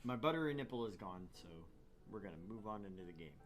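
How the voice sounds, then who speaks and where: A man's voice: on the low side, animated, fast talker. A man co-hosting a gaming livestream with casual, jokey banter. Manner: jokey, playful. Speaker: livestream co-host.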